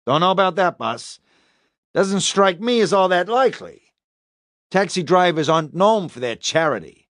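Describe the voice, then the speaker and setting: Man voicing a needling detective, swooping animated pitch. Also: normal speed, no echo, studio quality. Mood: sarcastic. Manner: probing, taunting. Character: sarcastic, needling male police detective interrogating a suspect.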